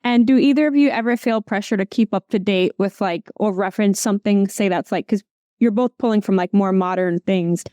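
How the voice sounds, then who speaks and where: Woman host with a smooth, warm voice. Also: medium pitch, fairly quick, dry recording, clean studio sound. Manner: hesitant, searching for words while asking. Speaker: host, interviewer. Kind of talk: interview questions.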